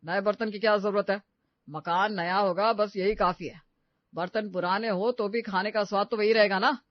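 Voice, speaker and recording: A man's voice: sits high, steady tone, moderate pace, dry recording, lo-fi sound, natural voice. An actor playing a stingy, lecturing young father in an acted family story, brushing off every request. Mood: breezy, dismissive. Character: stingy, dismissive young father obsessed with his new house. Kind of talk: acted Hindi audio story dialogue.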